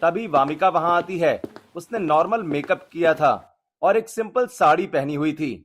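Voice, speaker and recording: A man's voice: on the high side, moderate pace, soft background music. A man reading scripted narration for a family drama cartoon story.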